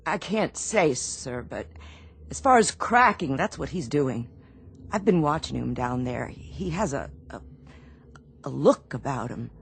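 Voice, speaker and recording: Navy ship doc, super low creaky voice, slow, grave and halting, radio play. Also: female voice, lively intonation, heavily acted. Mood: grave, worried. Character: troubled, earnest Navy ship's doctor, female. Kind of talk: radio drama acting.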